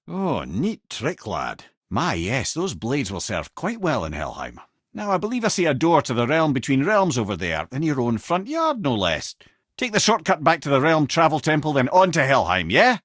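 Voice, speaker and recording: An old Scottish talking head from a fantasy game, wise and wry. His pitch swings freely as he speaks like an eloquent, avuncular storyteller, in a close, dry recording. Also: a bit bright, no echo. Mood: brisk, encouraging. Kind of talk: video game character acting, storytelling.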